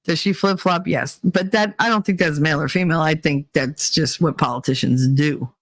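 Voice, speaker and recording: A woman's voice: sits low, normal speed. A woman hosting a vlog, giving blunt, rambling opinions with plenty of swearing. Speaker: vlog host. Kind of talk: casual opinion monologue.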